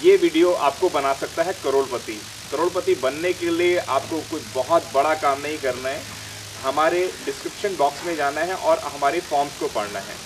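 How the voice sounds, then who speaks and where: A man, presenting and interviewing on camera with a handheld microphone. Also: normal speed. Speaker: news channel host and interviewer. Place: outdoors in front of banners. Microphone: handheld news microphone. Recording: noisy outdoor sound, vehicle rumble, hiss.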